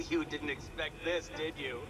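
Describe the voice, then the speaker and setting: An actor playing a gloating, egotistical genius villain who talks in a pompous, taunting, theatrical way. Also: male voice, a little high, steady tone, unhurried, music in the background, natural voice.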